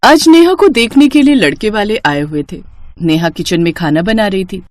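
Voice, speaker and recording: A woman reading scripted narration for an animated moral story. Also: mid-range voice, normal speed, no room sound.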